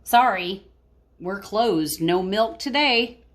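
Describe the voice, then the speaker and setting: A woman's voice: mid-range voice, slow pace. A woman teacher reading a picture book aloud expressively and talking to children, explaining things simply. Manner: explains words simply, animated storytelling.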